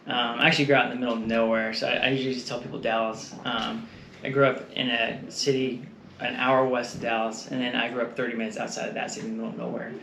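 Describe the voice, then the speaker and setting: A man from Texas, likely in his thirties, talks as a guest in a relaxed interview. He rambles through his stories with lots of fillers and easy laughs. The room is echoey, with a faint hiss behind him. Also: medium pitch, fast talker. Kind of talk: casual conversational interview.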